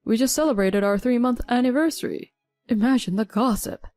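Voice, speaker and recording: A woman's voice: on the high side, brisk, darkish tone, no room sound, soft background music, lightly acted. Brief: weak-willed young husband, whiny and pleading, in a storybook, read by a woman. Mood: defensive. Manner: whining, pleading.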